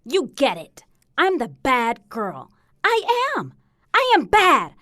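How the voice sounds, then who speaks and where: A woman's voice: high voice, huge pitch swings, takes it slowly, sharp tone, no echo, clean studio sound, heavily acted. A woman playing a defiant, sarcastic, hurting teenage daughter in a dramatic stage piece, snappy in her delivery.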